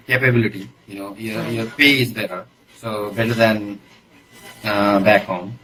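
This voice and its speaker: Bright-voiced Nepali man, chatting. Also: mid-range voice, slight background noise, low-quality recording. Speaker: interview guest, engineer. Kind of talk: casual interview talk.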